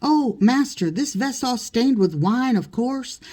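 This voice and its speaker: A woman voicing a foppish, self-important male servant in a storybook, full of airy flattery. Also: a little high, slow, pitched above the performer's own voice. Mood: airy, wheedling. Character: foppish, fawning male servant, vain dandy.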